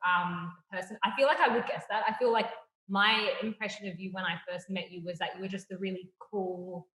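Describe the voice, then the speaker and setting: A woman's voice: medium pitch, steady tone, fairly quick, sharp tone. A young woman with an Australian accent, co-hosting a casual chat and game, speaking easygoing and thoughtful with frequent laughter. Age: young adult. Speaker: co-host, PhD student. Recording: echoey room with long reverb.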